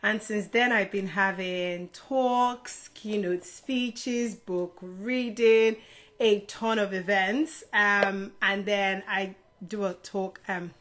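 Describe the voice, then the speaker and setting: Woman, middle-aged, from Nigeria, medium pitch, casual livestream host, chatty, informal, addressing viewers directly. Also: steady tone, slow, a bit bright. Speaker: live stream host, HR career adviser. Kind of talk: casual live stream Q&A chat to viewers.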